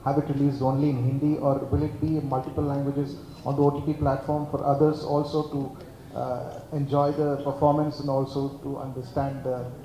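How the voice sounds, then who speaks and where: A man's voice: mid-range voice, little pitch movement, normal speed, warm tone. A male journalist, asking a long, hesitant, rambling question at a press meet. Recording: echoey hall with faint noise.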